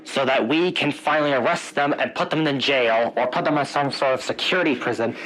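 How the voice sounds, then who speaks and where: Bright-voiced police officer, played by a man. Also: medium pitch, brisk, close to an everyday voice, poor audio. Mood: grave, official. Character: male police officer giving grave warnings, panicking under attack. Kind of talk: amateur analog-horror emergency broadcast acting.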